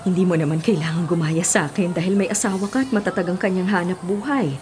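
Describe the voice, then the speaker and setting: Actress as weary, devoted mother, lowish voice. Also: quick pace, darkish tone, slight background noise, natural voice. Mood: earnest, resolute. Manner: earnest, principled, pleading when pressed. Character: hardworking, self-sacrificing middle-aged mother and tutor, patient but weary. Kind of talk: Filipino radio drama acting.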